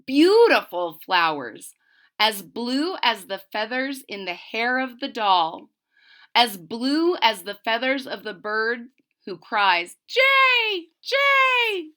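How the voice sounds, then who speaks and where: Slow, bright-voiced woman reading a storybook. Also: on the high side. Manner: deliberate, explains to young listeners. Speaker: storybook reader. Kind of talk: expressive children's read-aloud with simple asides.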